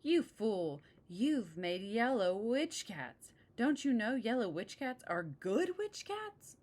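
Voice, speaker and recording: A woman's voice: on the high side, very animated, takes it slowly, darkish tone, exaggerated voice. Woman acting out a bossy, screechy old witch. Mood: outraged screeching. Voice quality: screechy when angry. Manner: bossy, scolding. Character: imperious, scolding old female witch.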